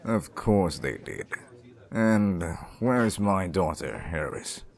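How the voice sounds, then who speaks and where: A man playing a cold, disapproving middle-aged father who speaks formally and deliberately in an audio drama. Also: sits low, animated, full character voice. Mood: cold, disdainful.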